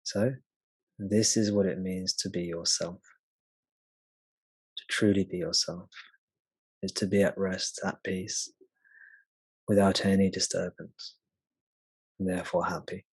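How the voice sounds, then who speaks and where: Low-pitched, husky male meditation guide. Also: flat delivery, no room sound, low-quality recording. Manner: gentle, unhurried, long pauses. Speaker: spiritual teacher leading satsang.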